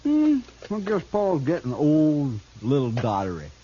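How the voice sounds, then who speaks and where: A cool-headed, cocky American outlaw in a radio Western. He drawls with sly, folksy mockery, his pitch very animated over a middling register. The old recording is muffled, with faint hiss. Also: a little slow. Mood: sly, smug. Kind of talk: 1950s radio drama acting. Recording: dull, hissy 1950s radio recording.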